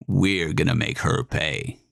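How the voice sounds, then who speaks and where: A man's voice: very deep voice, expressive, takes it slowly, vocal fry, a bit bright, no room sound, clean studio sound, heavily acted. A man voicing a cold, commanding young quarterback who barks curt orders in a storybook horror reading.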